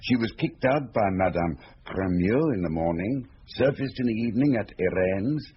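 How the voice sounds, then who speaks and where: A shrewd male confidant, played by an actor in a conversational radio drama. He reasons deliberately in a low, very expressive voice. The recording is slightly dull with faint hiss. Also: lightly acted. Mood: thoughtful, probing. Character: shrewd, measured male confidant questioning a detective.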